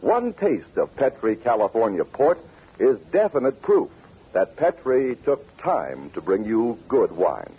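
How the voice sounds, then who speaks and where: An American man announcing and pitching in 1940s radio style, polished and emphatic. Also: big pitch swings, a little slow, husky. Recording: tinny, scratchy old radio recording.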